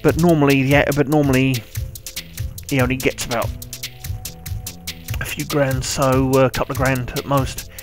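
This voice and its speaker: A man's voice: medium pitch, level tone, normal speed, long pauses. A British man giving casual, informal live commentary on a video game, muttering and repeating himself as he plays. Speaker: gameplay commentator. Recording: game music behind the voice.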